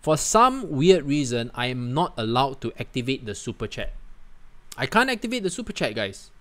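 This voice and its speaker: A man from Singapore, hosting a casual livestream and answering viewer comments in a chatty, animated way. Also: mid-range voice, moderate pace. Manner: chatty, animated, peppers talk with "man", "guys" and "right?". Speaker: livestream host.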